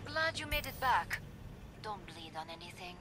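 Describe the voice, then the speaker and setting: A woman voicing a brisk, dry female trader in a shooter game, giving curt commands. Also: medium pitch, sing-song, background noise, close to an everyday voice. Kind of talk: in-game voice lines. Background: loud game music.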